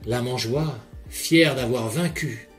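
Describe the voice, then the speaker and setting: Slow, calm man guiding relaxation. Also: mid-range voice. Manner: calm, measured second-person guiding. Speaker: hypnotherapist guide. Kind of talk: guided relaxation session. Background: soft background music.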